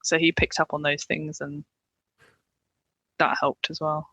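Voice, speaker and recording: A woman's voice: deep voice, level tone, a little slow, sharp tone, no echo, poor audio. A young woman with an English accent speaks openly about her work, in a loose, chatty way full of "like" and "you know." Her voice sits close and dry on the mic with no room around it. She laughs easily between thoughts. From England. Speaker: paramedic guest. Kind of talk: candid interview conversation.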